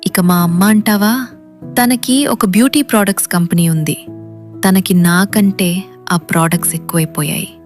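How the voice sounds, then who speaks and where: A woman's voice: mid-range voice, moderate pace, soft background music, lightly acted. A woman playing a rich, lonely young woman in love, in a cartoon story. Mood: wistful.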